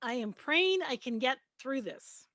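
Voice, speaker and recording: A woman's voice: high voice, expressive, unhurried, sharp tone, no room sound, studio quality. A woman giving a dramatic reading of promotional copy. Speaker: panelist, radio and TV host. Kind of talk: reading a press release aloud as a dramatic reading.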